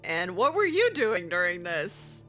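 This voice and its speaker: Bright, slow-talking woman with lively intonation. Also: on the low side, faint music behind. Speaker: player voicing her character in a roleplay playthrough. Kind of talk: in-character improvised conversation.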